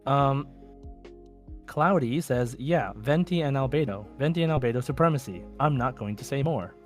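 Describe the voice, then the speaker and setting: A man's voice: mid-range voice, moderate pace. Man co-hosting a podcast, music behind. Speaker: podcast co-host. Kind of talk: casual podcast chat, reading out listener messages.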